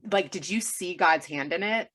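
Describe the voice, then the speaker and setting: A woman's voice: fairly low pitch, moderate pace, fairly bright tone. A woman hosting an interview podcast, bubbly and gushing as she asks her guest questions. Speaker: podcast host. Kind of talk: casual interview chat.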